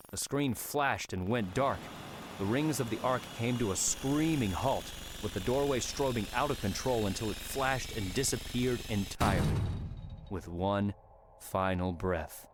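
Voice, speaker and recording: A man narrating an audiobook in a measured, dramatic way. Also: fairly low pitch, noisy background. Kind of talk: scripted narration. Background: music score.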